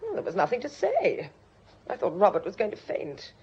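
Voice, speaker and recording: An actress playing a nervy, self-pitying British wife in a radio drama, plaintive, reproachful and overwrought. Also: female voice, medium pitch, wildly swooping pitch, moderate pace, a little mellow, husky, highs cut off, full character voice. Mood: aggrieved, fretful. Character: nervy, fretful, self-pitying married lady.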